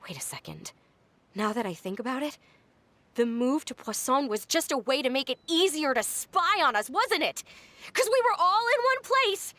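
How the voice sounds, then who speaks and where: A woman voicing a defiant, sharp-tongued young girl in a video game. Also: high voice, sing-song, normal speed, no echo, full character voice. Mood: accusing, suspicious.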